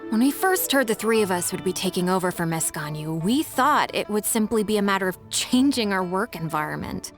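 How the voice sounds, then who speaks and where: A woman's voice: mid-range voice, expressive, fairly quick, few pauses, faint music behind, close to an everyday voice. A woman voicing a frustrated, blunt, overworked secretary in a video game, with a complaining manner.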